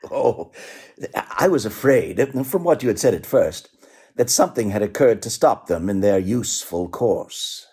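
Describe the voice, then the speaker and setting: A man's voice: fairly low pitch, lively intonation, normal speed, warm tone, breathy edge. An actor playing a cold, miserly old businessman in a radio play, speaking in a curt, grudging, dismissive way.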